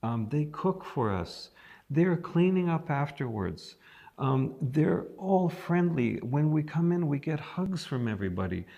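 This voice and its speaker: A man interviewing an artist, thoughtful and encouraging, with many pauses and ums. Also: a little high, sing-song, gravelly, mellow, muffled recording. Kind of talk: interview, conversational.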